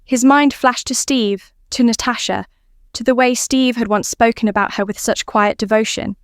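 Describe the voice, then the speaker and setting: A woman's voice: on the high side, fairly even intonation, normal speed, no echo, studio quality. A woman narrating scripted fan fiction as a storyteller.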